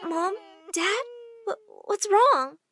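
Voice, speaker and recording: A woman voicing an indignant, hurt young girl in an animated story, pleading and melodramatic. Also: extremely high pitch, unhurried, voice pushed up, full character voice. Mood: anxious pleading.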